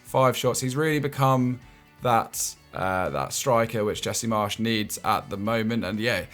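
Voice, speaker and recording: A man's voice: lowish voice, unhurried, fairly bright tone, soft background music. A man hosting a casual football analysis chat, often restarting his words and chuckling between points. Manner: stammering restarts and chuckling asides. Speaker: football show host.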